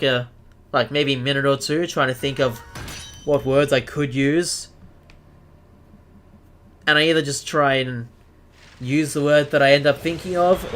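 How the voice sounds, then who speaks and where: An Australian man hosting a live stream, chatting casually with viewers and often pausing to search for the right word. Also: mid-range voice, fairly bright tone. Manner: chatty, searches for words, self-deprecating.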